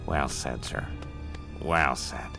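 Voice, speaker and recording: An actor playing a fawning, anxious villain's assistant with an obsequious, hesitant manner. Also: male voice, rumbling low, slow, creaky, heavily acted. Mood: servile. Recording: music behind the voice.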